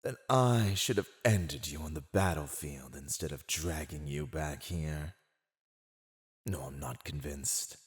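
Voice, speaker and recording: A man's voice: a bass voice, a little slow. A man voicing a mocking, silver-tongued fantasy villain in a scripted ASMR roleplay, gloating over a captive in a teasing, condescending, theatrical drawl. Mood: menacing, taunting. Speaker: voice actor.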